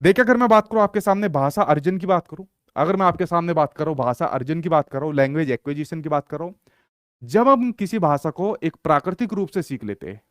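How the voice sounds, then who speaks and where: A man's voice: fairly high pitch, expressive, a little fast, no room sound, clean studio sound. A young male teacher lecturing in an online exam-prep class, repeating points and asking rhetorical questions he answers himself. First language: Hindi.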